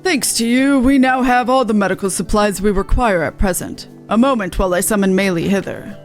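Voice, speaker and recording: A woman's voice: medium pitch, brisk, faint music behind, lightly acted. Woman putting on a dignified, courtly older nobleman. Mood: courteous, approving. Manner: formal, courtly, archaic phrasing. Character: dignified older nobleman, earnest and remorseful mentor. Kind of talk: amateur game dialogue read-aloud.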